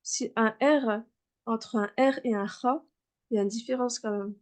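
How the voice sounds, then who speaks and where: A fairly high-voiced woman teaches a beginner class over a video call. She is patient, says each sound again and again, and fills her pauses with small hesitations. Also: steady tone. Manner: patient, repeats sounds many times, many fillers. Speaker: Arabic reading teacher.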